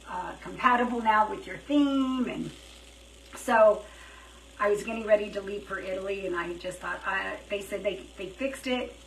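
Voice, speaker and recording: A woman chatting casually on a live craft stream, thinking out loud as she works, her throat dry and her voice feeling worn. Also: mid-range voice. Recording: roomy, slightly distant, faint hiss.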